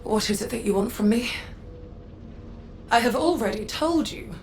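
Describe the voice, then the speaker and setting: An actress playing a haughty, cold faction envoy in a video game, speaking in an imperious, condescending, formal way. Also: female voice, a little high, animated, moderate pace, a little hiss or hum. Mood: cold disdain. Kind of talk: scripted game dialogue. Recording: faint game ambience behind voice.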